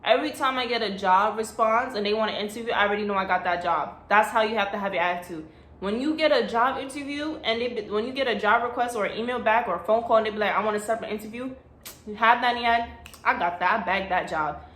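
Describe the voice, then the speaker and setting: A woman's voice: fairly high pitch, fast, fairly bright tone. A young woman giving blunt, chatty job advice in a casual vlog, recorded on a phone. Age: young adult. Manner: chatty, blunt and sassy, acts out voices. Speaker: vlogger. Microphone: phone camera. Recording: echoey room.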